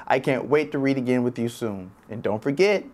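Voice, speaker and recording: A man's voice: mid-range voice, fairly quick, a little mellow. A man hosting a read-aloud show, speaking directly and encouragingly to parents as he wraps up. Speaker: children's read-aloud host. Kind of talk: friendly sign-off to viewers.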